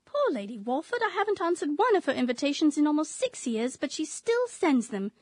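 A woman's voice: high-pitched, big pitch swings, brisk, a little mellow, dry recording, studio quality. An actress playing a playful, flirtatious Victorian widow in her thirties in a radio drama, teasing and genteel. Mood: fondly bustling.

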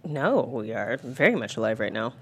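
A mysterious woman, played by an actress, with a low-pitched voice. She sounds composed and eerily certain, as in a thriller table read, over slight background noise. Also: big pitch swings, normal speed, full character voice. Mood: grave, insistent.

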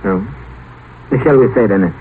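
Actor as smooth scheming male doctor, low-pitched, teasing, radio play, tinny noisy vintage broadcast. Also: normal speed, lightly acted. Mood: mocking. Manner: suave, sardonic, teasing. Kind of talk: 1950s radio play acting.

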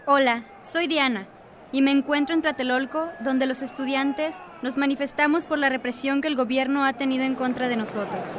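High-pitched young student protester played by an actress. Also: female voice, steady tone, moderate pace, slight background noise, natural voice. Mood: friendly, calm. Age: young adult. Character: anguished young female student protester searching for her brother. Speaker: actress in a student radio drama. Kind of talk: radio drama acting. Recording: radio drama with music underneath.

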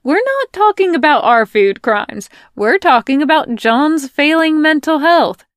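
A woman voicing a brash, teasing young woman in an audio fanfic reading, in a loud, high-pitched manner. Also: big pitch swings, unhurried, no room sound, clean studio sound. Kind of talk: podfic character voice.

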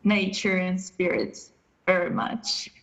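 A woman in her thirties from Poland, a podcast guest answering questions about herself with many pauses and restarts. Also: on the low side, little pitch movement, a bit bright. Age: 30s. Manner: hesitant, many ums and restarts. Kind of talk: casual interview answers.

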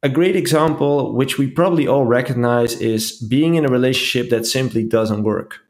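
A man's voice: medium pitch, moderate pace. A man talking earnestly in a casual podcast chat, often restarting and repeating phrases. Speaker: podcast co-host.

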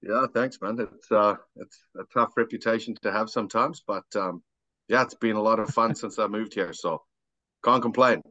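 Low-voiced South African man, dry dull recording. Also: moderate pace, pushed and bright, no room sound. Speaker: podcast guest, amateur golfer. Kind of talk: casual chat, personal storytelling.

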